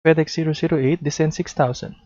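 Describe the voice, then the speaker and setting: Filipino guy teaching air traffic control, mellow voice, dry dull lo-fi audio. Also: fairly high pitch, fairly even intonation, quick pace, dry recording. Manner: instructional, mixes Tagalog into English. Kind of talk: demonstration lesson with radio instructions. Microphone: headset. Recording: dull lo-fi close recording.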